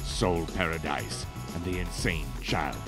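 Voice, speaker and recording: A man's voice: rumbling low, a little slow, over loud music. A man playing an over-the-top live motorsport TV reporter in a punchy, tongue-in-cheek mock news report. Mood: mock-dramatic.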